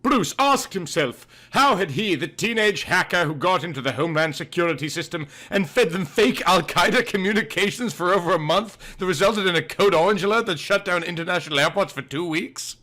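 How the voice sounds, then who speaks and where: High-pitched, theatrical male storyteller. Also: expressive, a little fast, few pauses, pushed and bright. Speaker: narrator of a comic detective story. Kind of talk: scripted, theatrical audiobook narration.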